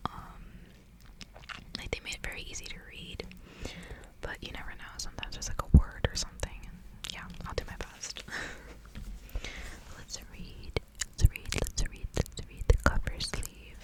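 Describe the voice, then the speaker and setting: A woman's voice: measured pace, poor audio. Whispering woman doing ASMR. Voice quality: breathy unvoiced whisper. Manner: repeats words and short phrases as triggers. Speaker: ASMR video creator and reader. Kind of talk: ASMR, intimate casual chat and reading aloud.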